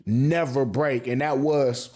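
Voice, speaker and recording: A man's voice: mid-range voice, fairly even intonation, slow, darkish tone. A man giving casual, slangy video commentary as an anime reviewer, swearing freely and repeating phrases for emphasis.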